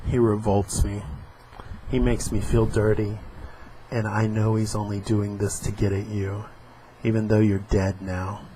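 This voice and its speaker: Grieving widow, bleak, quiet, slow, read out by a man. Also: fairly low pitch, level tone, a little hiss or hum, lightly acted, poor audio. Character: weary grieving widow, speaking to the dead.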